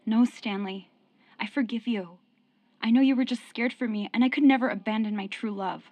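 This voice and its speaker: An actress in a radio drama playing a loyal, forgiving young sweetheart with a firm streak. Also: female voice, a little high, moderate pace, darkish tone, no echo, natural voice. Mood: devoted, forgiving.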